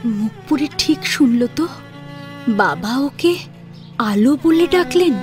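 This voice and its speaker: A woman's voice: sits high, lively intonation, slow, darkish tone, soft background music. A woman narrating a scripted family drama as an audio story.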